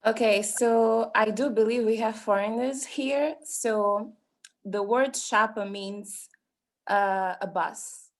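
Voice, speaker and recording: A woman's voice: fairly high pitch, takes it slowly. A woman from Mozambique giving an impromptu speech on a video call, hesitant and searching for words. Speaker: meeting participant.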